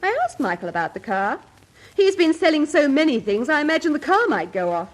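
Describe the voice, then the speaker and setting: A young daughter in a vintage radio comedy. Her voice is fairly high and lively in pitch, with a clipped, sensible, dryly witty manner. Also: a little fast. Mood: dry, doubtful. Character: sensible, dry-witted young English daughter. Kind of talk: 1950s radio comedy acting. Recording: 1950s radio play recording.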